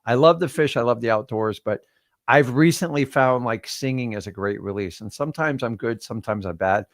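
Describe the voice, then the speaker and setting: A middle-aged man telling loose, rambling stories in casual conversation, his voice sitting at an ordinary male pitch. The sound is dry and close, with no room echo. He leans on fillers and restarts as he talks. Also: a little fast. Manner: rambling, animated storyteller with many fillers. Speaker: host. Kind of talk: casual chat. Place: studio livestream.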